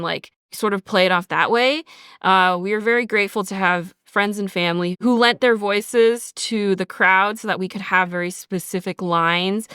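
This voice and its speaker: A woman's voice: on the low side, a bit bright, clean tone, dry recording, clean studio sound. A woman co-hosting a casual behind-the-scenes podcast, speaking informally with lots of "like". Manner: informal, peppers talk with "like". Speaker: podcast co-host, audio drama writer and sound designer.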